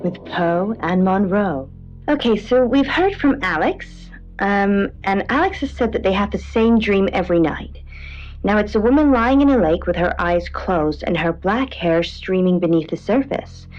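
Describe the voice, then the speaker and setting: A slightly dull radio sound with music underneath carries an actress as an earnest woman hosting a late-night show. She reads dreams in a measured, tentative manner, at a medium pitch. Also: lively intonation, a little mellow, close to an everyday voice. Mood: earnest, calm. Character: Munro, earnest female radio dream interpreter and co-host. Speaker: radio co-host. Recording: band-limited radio sound over music.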